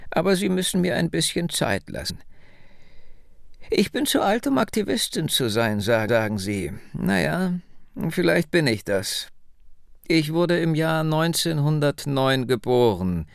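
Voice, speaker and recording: A man voicing a hundred-year-old woman in an audiobook, wry, insistent and reflective, speaking deliberately and with emphasis. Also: a little high, very animated, vocal fry, dry recording, studio quality, pitched above the performer's own voice, heavily acted. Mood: reminiscing.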